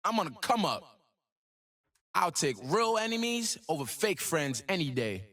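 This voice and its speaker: Cocky, high-pitched young hip-hop game hero. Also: male voice, very animated, a little slow, bright, forceful tone, full character voice. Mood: confident, driven. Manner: swaggering, slangy, rhythmic. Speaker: video game voice actor and rapper. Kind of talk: game voice lines.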